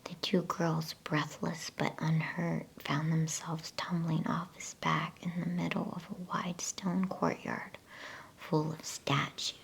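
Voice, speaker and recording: A woman speaking in a soft, unvoiced whisper, gently and without hurry, as an ASMR bedtime story reader. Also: low voice, little pitch movement, slow pace, gravelly, low-quality recording.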